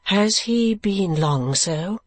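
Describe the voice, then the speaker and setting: A reader voicing a compassionate, well-bred young woman from a storybook, polite and earnest in a soft manner. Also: medium pitch, expressive, takes it slowly, voice pushed up. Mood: gentle concern.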